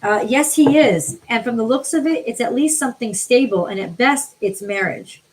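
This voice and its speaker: An American woman from the New York City area reads tarot on a live stream, chatting informally with viewers by name, her voice tiring and getting a little hoarse. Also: a little high, normal speed, poor audio. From New York City area, USA. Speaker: tarot card reader, livestream host.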